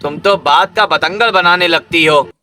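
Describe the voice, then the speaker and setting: A man's voice: a little high, moderate pace, pushed and bright, soft background music. A man playing a defensive, scolding young village husband in a cartoon story. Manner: scolding, bossy, dismissive.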